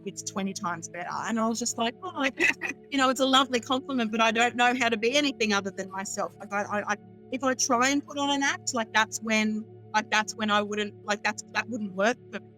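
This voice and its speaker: A woman's voice: on the high side, fairly quick, bright, forceful tone, soft background music, low-quality recording. A chatty, bubbly woman, a guest astrologer on a podcast, who laughs often. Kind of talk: casual podcast chat.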